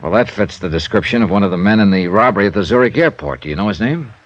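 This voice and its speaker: A tough American detective from an old radio play. His voice sits fairly low, and he speaks in clipped, wry, suspicious lines like an interrogator. The sound is dull and band-limited, like an aged broadcast. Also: expressive, fast talker. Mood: probing, matter-of-fact. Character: tough, suspicious, sardonic male insurance investigator. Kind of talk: old-time radio detective drama acting.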